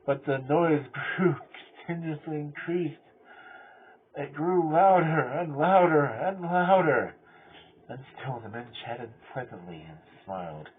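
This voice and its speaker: A man playing a cunning, mad murderer who confesses in a theatrical gothic horror reading, swinging between hushed control and frenzy. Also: on the high side, animated, unhurried, voice pushed up. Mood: frantic, raving. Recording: tinny band-limited audio with clear noise.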